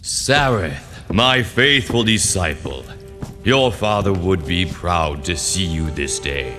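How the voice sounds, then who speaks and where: A man's voice: low-pitched, expressive, slow pace, sharp tone, soft background music, exaggerated voice. A man acting a scheming older wizard mentor in a video game, speaking with silky, commanding drama. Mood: grandly paternal.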